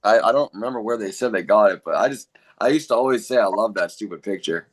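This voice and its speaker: A man's voice: on the low side, quick pace. Laid-back New England man. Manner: laid-back, casual swearing, laughs often. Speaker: band vocalist guest. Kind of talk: casual interview chat.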